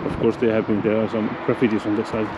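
A man with a Finnish accent, a Finnish native speaker narrating his exploration vlog outdoors, halting as he searches for English words. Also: fairly low pitch, level tone. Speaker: urban explorer. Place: outdoors at an abandoned mansion. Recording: loud wind noise on the mic.

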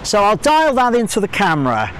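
High-voiced man explaining photography outdoors. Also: huge pitch swings, brisk, a bit bright, a little hiss or hum, low-quality recording. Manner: explanatory, thinks aloud with fillers. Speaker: photography tutor. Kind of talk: outdoor instructional talk to camera. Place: outdoors at a large waterfall. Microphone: clip-on mic, camera at mid distance.

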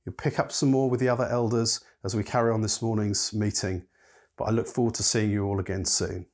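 A man's voice: on the low side, level tone, a little fast. A man in his fifties giving an earnest recorded sermon from a home study, leading listeners in repeated phrases. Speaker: church elder. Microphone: webcam at desk.

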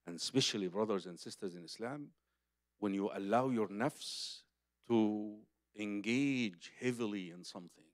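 A man's voice: lowish voice, moderate pace, no echo, clean studio sound. An older man with an Egyptian accent and Arabic as his first language gives a religious lecture in a conversational, emphatic way, repeating phrases for his listeners. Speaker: Islamic preacher. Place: conference hall stage, seated at table. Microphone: microphone on a boom stand.

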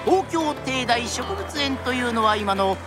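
A woman's voice: a little high, expressive, unhurried. A woman narrating in a theatrical Japanese kodan storytelling style, with dramatic delivery and formal, polite phrasing. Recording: music behind the voice.

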